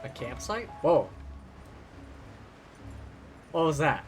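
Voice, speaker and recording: A man's voice: fairly high pitch, very animated, takes it slowly. A man streaming a game live, giving casual commentary. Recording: game music behind the voice.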